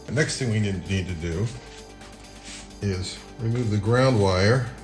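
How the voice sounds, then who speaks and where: A man narrating a casual hands-on how-to, unhurried and thinking aloud as he works. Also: fairly low pitch, over music. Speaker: repair tutorial presenter.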